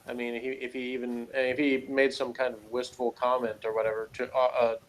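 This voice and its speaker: A man's voice: medium pitch, completely flat delivery, fairly quick, low-quality recording. A man talking through a TV review in a casual, analytical way, hesitant and rambling as he works out his points. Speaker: co-host reviewing a TV series.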